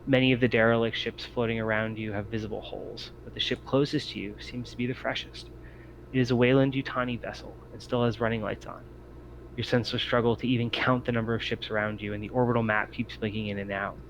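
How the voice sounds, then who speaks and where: A man's voice: mid-range voice, fairly even intonation, fast. A man narrating a tabletop roleplaying game as its game master. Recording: muffled lo-fi mic with clear noise.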